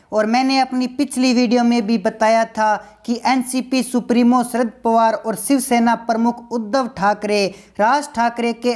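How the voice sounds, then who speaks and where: A woman's voice: fairly high pitch, a little mellow. A woman narrates scripted political news commentary as a video presenter, with a formal, emphatic delivery.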